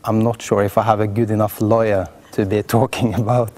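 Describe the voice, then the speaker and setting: A man's voice: on the low side, flat delivery, brisk, a little mellow. A middle-aged man from Oslo with a Norwegian accent, talking reflectively in an interview in a hesitant, thoughtful way. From Oslo, Norway. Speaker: orchestral cellist.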